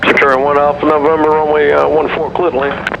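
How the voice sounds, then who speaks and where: Male air traffic controller, American, monotone and creaky, terse radio instructions through heavy aircraft noise. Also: a little high, fast talker. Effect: aviation radio. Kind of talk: clipped tower radio instructions. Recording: dull radio transmission with loud aircraft noise.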